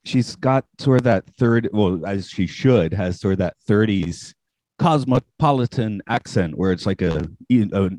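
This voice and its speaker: Deep-voiced American man, casual podcast host. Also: lively intonation, unhurried, darkish tone, no room sound. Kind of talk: casual chat.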